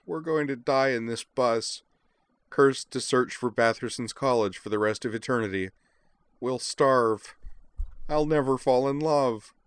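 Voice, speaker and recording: A man's voice: mid-range voice, animated, normal speed, hardly any pauses, dry recording, pitched above the performer's own voice, natural voice. Man putting on a fretful, melodramatic moaner. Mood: moaning despair. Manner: moaning, fretful.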